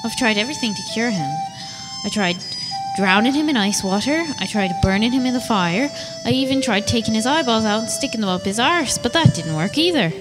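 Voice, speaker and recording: A woman's voice: a little high, expressive, a little fast, natural voice, low-quality recording. A woman playing an earnest, sweary Irish fairy exorcist reading out a letter in a comedy audio drama. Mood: earnest, pleading. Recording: loud music behind the voice. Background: loud instrumental music.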